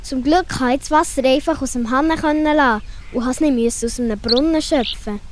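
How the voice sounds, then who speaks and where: A woman's voice: sits high, fairly quick, background noise, close to an everyday voice. A woman playing a caring young female forest animal in a children's radio play, with a Swiss German accent and a simple, motherly way of explaining. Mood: caring, brisk.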